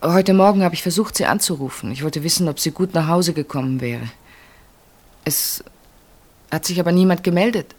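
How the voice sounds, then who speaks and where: A woman's voice: lowish voice, normal speed, darkish tone, lightly acted. An actress playing a dutiful, self-sacrificing unmarried woman in her thirties in a German radio play, speaking politely and with restraint. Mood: worried, hesitant. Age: 30s. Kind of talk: German radio play acting, intimate naturalistic dialogue.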